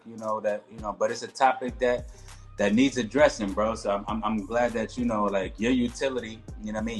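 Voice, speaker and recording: A man hosting a casual podcast interview over a video call, laid-back and full of slang, often tagging "you know what I mean.". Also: on the low side. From New York. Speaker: podcast host.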